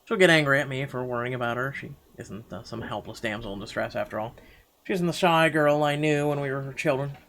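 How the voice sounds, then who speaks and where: A man's voice: mid-range voice, fairly bright tone. A man reads first-person story text from a game aloud. His voice sits in a medium register, with a dramatic storytelling delivery that halts and stumbles at times. Faint noise runs behind him.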